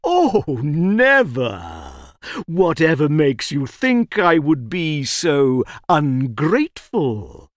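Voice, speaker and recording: A big storybook tiger, as acted out by a woman, sly and ungrateful, drags out his wheedling pleas and growls. The delivery is very slow and swoops widely, with a close, dry sound. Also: low-pitched, huge pitch swings, dry recording, full character voice. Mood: pleading, wheedling. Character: large male tiger, pleading, sly and ungrateful.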